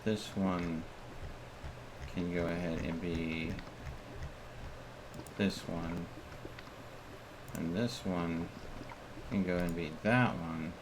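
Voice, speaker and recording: A man's voice: sits low, fairly even intonation, drawn-out words, long pauses. A man streaming a game with casual commentary, muttering to himself as he plays. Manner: muttering to himself while playing. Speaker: live streamer. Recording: clearly noisy background.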